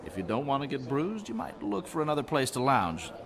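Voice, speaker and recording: An actor playing a wry, worldly, roguish businessman and gambler in an audio drama, smooth-talking and unhurried. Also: mid-range voice, sing-song. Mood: suave, amused. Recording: crowd murmur behind the voice.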